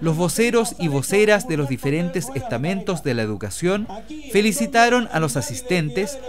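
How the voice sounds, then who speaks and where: A man's voice: high-pitched, big pitch swings, moderate pace. A man narrating a scripted radio news report.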